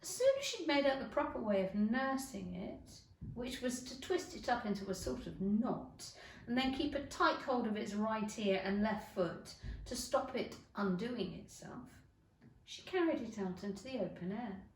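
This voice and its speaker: A woman giving an animated, emphatic narration of a children's storybook, like a teacher. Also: on the high side, moderate pace. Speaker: schoolteacher reading a story. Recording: echoey room, distant microphone.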